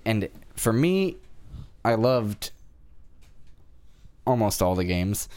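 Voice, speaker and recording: Clear-voiced man in casual chat. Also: on the low side, very animated, slow pace, darkish tone. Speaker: podcast panelist.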